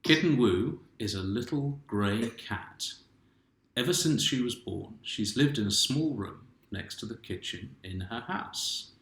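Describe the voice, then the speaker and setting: A man's voice: mid-range voice, lively intonation, unhurried. A man reading his own children's picture book aloud, stopping to ask the listening child questions. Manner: gentle, asks the child and viewers questions. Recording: roomy home room echo.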